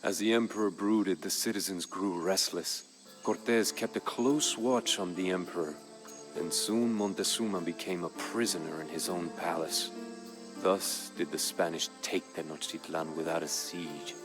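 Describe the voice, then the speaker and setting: A man playing a proud, grave Aztec jaguar warrior who solemnly recounts his people's fall as a strategy game's campaign narrator, in a measured, dramatic way. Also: low-pitched, steady tone, over music, lightly acted. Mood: grave, brooding. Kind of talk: solemn scripted storytelling narration for a strategy game.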